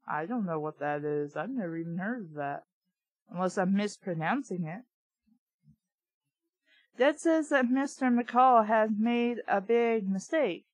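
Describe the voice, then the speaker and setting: A woman's voice: mid-range voice, lively intonation, a little slow, no room sound. A woman reading a book aloud and reacting in casual, blunt asides, often incredulous and sweary. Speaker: host reading and reviewing a children's book. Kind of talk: read-aloud with casual running commentary.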